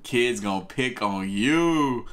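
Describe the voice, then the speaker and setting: A man's voice: mid-range voice, expressive, takes it slowly, fairly bright tone. Easygoing man in his thirties, reaction host, chuckles a lot. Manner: laid-back, chuckling, talks to viewers. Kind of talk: casual reaction commentary. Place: home room with bookshelves and gaming chair.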